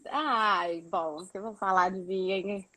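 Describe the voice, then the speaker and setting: A woman's voice: mid-range voice, a little slow, bright, forceful tone, rough recording. A Brazilian woman chatting casually and explaining things as a guest, repeating words for emphasis. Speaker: guest, doctoral student living in Portugal.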